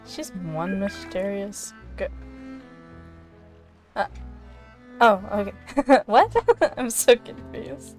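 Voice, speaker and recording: Unhurried woman commenting on a game. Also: mid-range voice, animated. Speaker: gaming streamer. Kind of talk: casual playthrough commentary. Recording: game music under the voice. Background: video game music.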